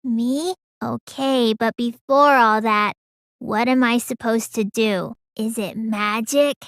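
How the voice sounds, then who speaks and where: A woman voicing a small, gloomy, stubborn teenage girl mage in an English video game dub, drawling when calm and stammering when upset. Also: fairly high pitch, slow pace, dry recording, studio quality. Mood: sleepy, deadpan.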